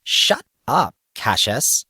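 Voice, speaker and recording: A man's voice: medium pitch, wildly swooping pitch, very slow, sharp tone, husky, no echo, studio quality, heavily acted. A man voicing a feisty, easily angered teenage girl with a smug, needling manner in an audio story. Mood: indignant. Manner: indignant, needling. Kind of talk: audiobook character voice.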